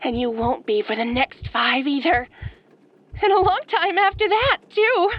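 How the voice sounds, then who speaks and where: A woman acting as an obsessed young female fan turned killer in a horror audio roleplay, soft-spoken, girlish and pouty, with sweet menace. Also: sits high, unhurried, no room sound, lo-fi sound. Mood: cheerful menace. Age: young adult.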